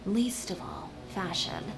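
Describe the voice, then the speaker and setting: A proud young woman designer in a video game story. Her medium-pitched voice is polished and dryly confident, with noticeable ambience behind it. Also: huge pitch swings, slow pace, gravelly, background noise, exaggerated voice. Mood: reflective. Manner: confident, dryly self-assured.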